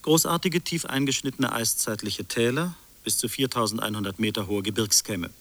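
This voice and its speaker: A man's voice: on the low side, moderate pace, a bit bright. An actor playing an officious, sceptical interrogator in a radio drama, questioning and citing his notes. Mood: officious, crisp. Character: probing, sceptical male interrogator with files and notes.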